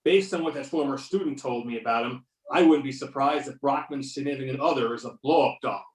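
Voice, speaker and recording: A man's voice: mid-range voice, a little fast, natural voice. A man playing a sardonic, confident FBI agent in an amateur readers-theater performance, with pointed questioning. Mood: wry. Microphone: videoconference or conference call. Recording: roomy echo, distant microphone.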